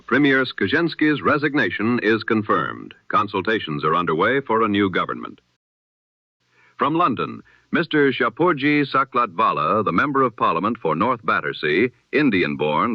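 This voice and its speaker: A man delivers the news in the measured, formal cadence of an old-time radio announcer. His voice sits low, and his intonation rises and falls with plenty of movement. The sound is dry and muffled, like a vintage broadcast. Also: no room sound. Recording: lo-fi, dull radio-style sound.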